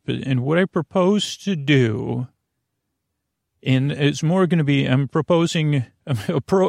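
A man with a creaky voice hosting a bedtime sleep podcast, meandering and halting in a soothing, lulling monologue that trails off into tangents. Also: mid-range voice, a little mellow, no room sound, clean studio sound. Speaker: podcast host.

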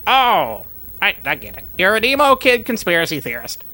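A woman voicing a bewildered, sensible friend who asks deadpan questions and sometimes squeals in surprise. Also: mid-range voice, normal speed. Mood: exasperated.

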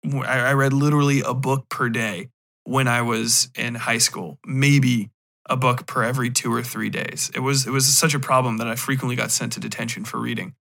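A man's voice: medium pitch, fairly even intonation, normal speed, vocal fry, a bit bright. A man, thirties or older, hosting a podcast in a casual, rambling monologue, cutting himself off with wry, sometimes profane asides. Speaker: podcast host.

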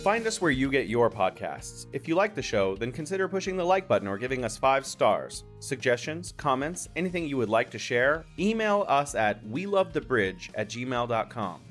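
A man's voice: medium pitch, sing-song, normal speed, hardly any pauses, music in the background. A man from California hosting a podcast interview in a friendly, upbeat way. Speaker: podcast host.

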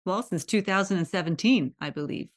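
A woman's voice: fairly low pitch, brisk. A woman hosting a podcast interview, chatty and enthusiastic, laughing readily. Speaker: podcast host. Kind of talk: conversational interview.

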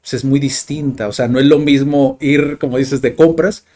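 A man's voice: medium pitch, steady tone, moderate pace, warm tone. A young man hosting a podcast, chatting casually and loosely, with frequent fillers and restarts. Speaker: podcast host. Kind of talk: casual conversational interview.

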